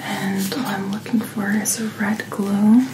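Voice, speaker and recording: A woman speaking in a soft, breathy whisper, gently and reassuringly playing a doctor in an ASMR roleplay, close to the microphone. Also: mid-range voice, little pitch movement, measured pace, noisy background. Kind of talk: soft-spoken ASMR medical checkup roleplay.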